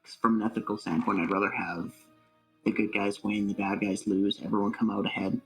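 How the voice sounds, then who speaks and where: A man with a low, creaky voice answers questions in a casual interview. He is thoughtful and hesitant, picking his way through his answers. Music plays behind him. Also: little pitch movement, brisk, fairly bright tone, low-quality recording. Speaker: interview guest, amateur voice actor. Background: background music.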